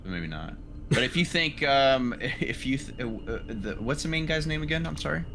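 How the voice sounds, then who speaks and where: A man's voice: mid-range voice, animated, normal speed, gravelly, fairly bright tone, faint music behind. A man playing a tabletop roleplaying game, talking casually with the group.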